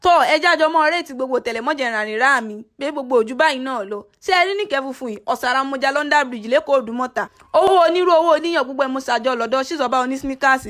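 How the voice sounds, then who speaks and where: A woman with a Nigerian Yoruba accent races through a comic story as a voiceover, her words tumbling out in quick rhythmic bursts. Her voice sits high and bright. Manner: rapid, rhythmic comic narration.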